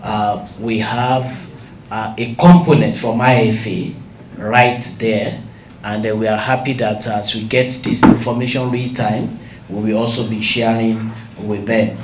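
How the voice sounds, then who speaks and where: A man at a briefing, speaking slowly with fillers. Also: lowish voice, little pitch movement, slight background noise. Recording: distant echoey room.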